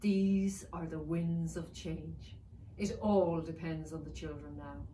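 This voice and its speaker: A powerful, burdened male warrior dragon from a storybook, read by a woman, speaks gravely and with foreboding. The sound is roomy and a little distant from the mic. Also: deep voice, steady tone, slow, dark tone, a little hiss or hum. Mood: grave, ominous. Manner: grave, portentous.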